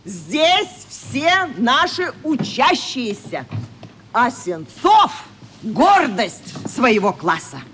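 A woman's voice: fairly high pitch, very animated, drawn-out words, fairly bright tone, slight background noise, heavily acted. An actress playing a strict, indignant schoolteacher in a radio play, lecturing her class in a stern, haughty manner. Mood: stern, sarcastic.

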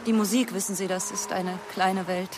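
An actress playing a composed, guarded middle-aged doctor in a radio crime drama, answering in a measured, evasive manner. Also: female voice, mid-range voice, steady tone, noisy background, close to an everyday voice. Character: composed, guarded older half-sister, a female doctor.

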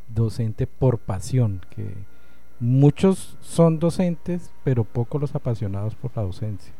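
A man with a Colombian accent hosting a conversational interview, measured and wordy as he gives his opinions. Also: mid-range voice, warm tone. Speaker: interview host.

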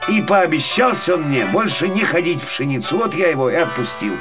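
A man's voice: fairly high pitch, sing-song, fairly quick, over music, pitched above the performer's own voice. A man voicing a simple, good-natured young peasant fool in a fairy tale, plain-spoken and naive. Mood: naive, pleased.

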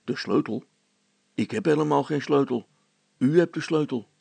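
A man voicing a blunt, down-to-earth, dry-witted fellow in a story, matter-of-fact and deadpan. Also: on the high side, moderate pace, vocal fry, breathy edge, no room sound, heavily acted. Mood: puzzled.

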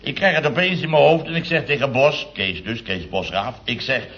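An actor playing a grumbling middle-aged building contractor boss who spins chatty anecdotes in a vintage radio comedy. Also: male voice, medium pitch, animated, moderate pace, fairly bright tone. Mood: boastful storytelling. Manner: chatty, grumbling anecdote telling with tag words. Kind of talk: 1960s-70s Dutch radio comedy acting. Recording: dull vintage radio recording.

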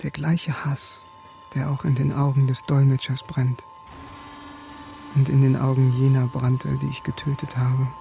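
A man's voice: mid-range voice, flat delivery, a little slow, gravelly, background noise. A man acting in a radio drama as an embittered, defiant German army sergeant who narrates his own fate with clipped intensity. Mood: grave, brooding. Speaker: lead actor in radio drama. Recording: music behind the voice.

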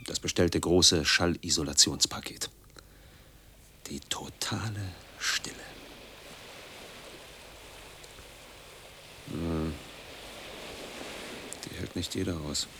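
A man's voice: sits low, takes it slowly, long pauses, background noise. An actor playing an embittered, reclusive man in his forties in a radio drama monologue, speaking in brooding, clipped fragments that sink into murmurs. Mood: hushed, resigned. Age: 40s.

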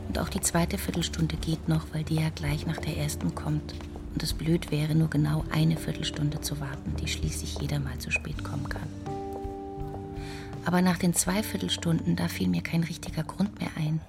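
A creaky-voiced, meticulous stood-up woman. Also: sits low. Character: stood-up woman, patiently recounting a long wait. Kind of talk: literary radio play monologue. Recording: music behind the voice.

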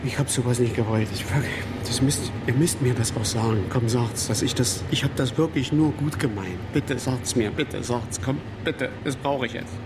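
A man's voice: mid-range voice, close to an everyday voice. Man acting a desperate father over noisy ambience. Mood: distraught, pleading. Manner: halting, repetitive, pleading. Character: desperate, hard-drinking working-class father, pleading and guilt-ridden. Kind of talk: naturalistic German radio drama acting.

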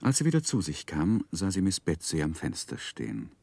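A man recounting his life in a 1960s literary radio play. His voice is low and moves expressively up and down. The delivery is measured and gently wry, recorded close and dry. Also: wildly swooping pitch, creaky, no echo, heavily acted. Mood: wry, narrating. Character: wry grown man narrating his own life story. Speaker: narrator. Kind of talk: scripted literary narration in 1960s radio drama.

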